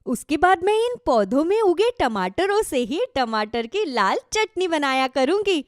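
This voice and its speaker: A woman plays an excitable village wife in a children's moral cartoon. Her voice is high and dramatic, swinging widely in pitch, in a dry recording. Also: unhurried, dry recording. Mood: hopeful. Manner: dramatic, wide-eyed exclaiming. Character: easily frightened, hopeful village wife.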